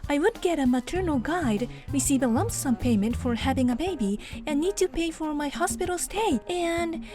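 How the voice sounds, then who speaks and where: A woman's voice: high voice, animated, normal speed. A woman playing an earnest teenage single mother, narrating her own story in a dubbed manga drama. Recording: music behind the voice. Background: music.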